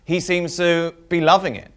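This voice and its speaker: High-pitched male sports show host. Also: flat delivery, normal speed, clear, resonant tone. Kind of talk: sports studio presenting.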